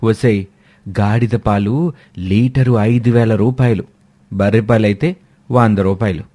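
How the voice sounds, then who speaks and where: A man's voice: fairly low pitch, steady tone, unhurried, warm tone. A man playing a greedy, defensive village donkey-milk seller and husband in a fairy tale. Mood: persuaded. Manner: defensive, complaining. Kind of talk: acted dialogue in a moral fairy tale.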